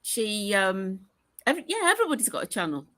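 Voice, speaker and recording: A woman's voice: mid-range voice, huge pitch swings, quick pace, bright, forceful tone. A woman chatting casually on a group call with wry, dry humor. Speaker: call-in guest. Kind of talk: casual chat.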